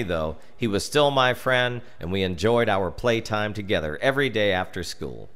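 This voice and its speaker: Male American storyteller, lower register, highly varied intonation, theatrical scripted narration with dramatic pauses. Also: a bit bright. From United States. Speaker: storyteller reading his comic family memoir.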